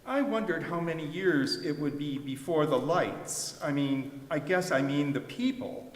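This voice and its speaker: A man's voice: on the high side, measured pace, close to an everyday voice. A man reading the part of a plainspoken, wry fisherman husband in a scripted readers theater piece. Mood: wry, reflective. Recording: echoey hall with long reverb.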